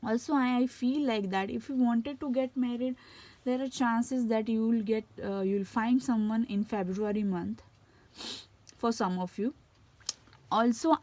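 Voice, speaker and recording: A woman's voice: a little high, dark tone, dry recording. A woman with an Indian English accent and a cold gives a casual tarot reading, with frequent fillers and restarts. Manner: halting, many fillers and restarts. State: has a cold. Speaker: tarot reader.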